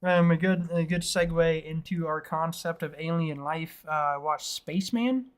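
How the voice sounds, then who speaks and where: A man's voice: a little high, normal speed, clean tone. A young man co-hosting a casual film podcast, rambling and swearing freely, laughing often. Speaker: podcast co-host.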